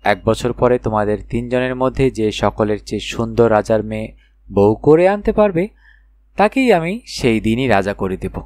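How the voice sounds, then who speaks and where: A man voicing a wily old king in a children's fairy tale, measured and commanding in his formal address. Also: lowish voice, lively intonation, a little mellow, no room sound, studio quality, natural voice. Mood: grave, refusing. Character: wily old king, reluctant to hand over his throne.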